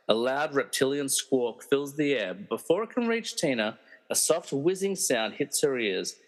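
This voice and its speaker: A man's voice: mid-range voice, in a large hall, poor audio. A man narrating a scripted radio play as a dramatic storyteller with wry asides.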